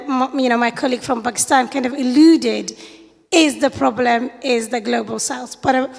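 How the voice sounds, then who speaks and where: A woman from Somalia who grew up in the UK, asking an earnest question from the audience at a conference, pausing often to search for words. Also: high-pitched, gravelly. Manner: earnest, searching, with fillers and pauses. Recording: long reverb of a lecture hall.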